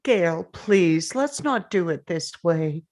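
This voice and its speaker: A woman playing a conciliatory father in a drama table read, choosing words carefully and a little haltingly. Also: lowish voice, expressive, a little mellow. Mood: careful, pleading.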